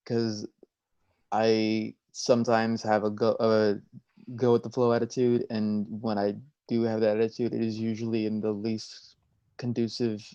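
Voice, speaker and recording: A man, an actor talking casually and a little haltingly on a video call. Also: fairly low pitch, monotone, dark tone, clean tone, dry recording, lo-fi sound. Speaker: actor in a cast Q and A. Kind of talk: casual chat.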